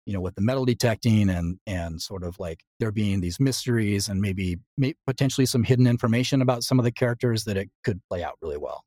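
Male interview guest: thoughtful, modest, slightly hesitant, lowish pitch, dry close sound. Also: darkish tone, no echo. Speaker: interview guest, audio drama creator. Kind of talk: reflective interview chat.

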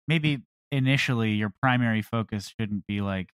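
A man chats casually in an interview. His voice is on the low side and recorded without any room echo. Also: normal speed, fairly bright tone, clean tone. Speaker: audio comedy creator, interviewee.

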